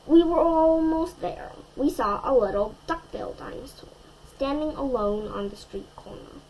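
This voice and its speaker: A woman storyteller reading a children's picture book aloud in an expressive way, addressing young listeners. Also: high voice, unhurried, slight background noise, low-quality recording.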